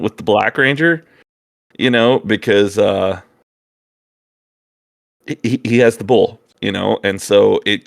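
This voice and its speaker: A man's voice: on the low side, gravelly, no room sound, clean studio sound. A man in a casual chat, talking hesitantly as he thinks aloud. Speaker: guest co-host.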